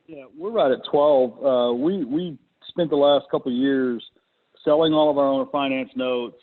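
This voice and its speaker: A man hosting a business conference call in casual, unscripted talk, speaking hesitantly with thinking pauses. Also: medium pitch. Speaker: conference call host, real estate investor. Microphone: conference call connection. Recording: muffled, narrow phone-line sound.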